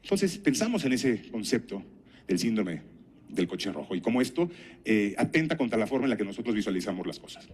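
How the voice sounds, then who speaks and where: A middle-aged man with a Mexican accent giving a conference talk, telling anecdotes and asking rhetorical questions in a didactic, deliberate way. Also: on the low side, big pitch swings, darkish tone, a little hiss or hum. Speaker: conference speaker and health professional.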